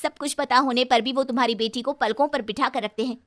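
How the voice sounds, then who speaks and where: Casting: woman voicing an indignant young daughter in a cartoon tale, high, sharp, confronting. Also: fairly even intonation, fast. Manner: confronting, reproachful. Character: outspoken young married daughter, indignant and righteous.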